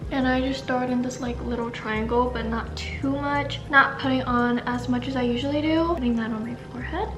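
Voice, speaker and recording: A woman's voice: sits high, steady tone, fairly quick, reverberant, muffled recording, over music. A young woman in her twenties filming a casual makeup tutorial at home, chatty and upbeat, slightly stuffy and congested. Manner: chatty, upbeat, says "like" and "freaking" a lot. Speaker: beauty vlogger. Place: bright living room at home.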